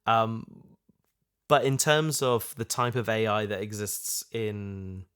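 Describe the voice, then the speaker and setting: A man chatting casually and thoughtfully, feeling his way through ideas in halting phrases. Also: lowish voice, a bit bright, clear, resonant tone. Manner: halting, thoughtful, repeats himself. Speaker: podcast host, audio drama voice actor. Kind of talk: casual chat, discussion.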